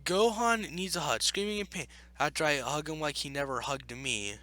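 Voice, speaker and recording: A man's voice: on the high side, moderate pace, bright, forceful tone. A man giving casual reaction commentary as he reads, in an exasperated, argumentative way. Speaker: YouTube commentator.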